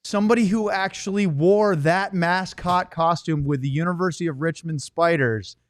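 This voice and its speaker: A man's voice: high-pitched, moderate pace, no room sound, studio quality. A man hosting a casual sports podcast, chatty and jokey in his delivery. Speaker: podcast host.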